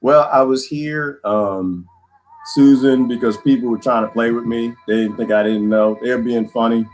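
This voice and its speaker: A man hosting a casual livestream, rambling and joking with viewers, laughing often, his voice going hoarse. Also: mid-range voice, rough recording. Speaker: livestream host.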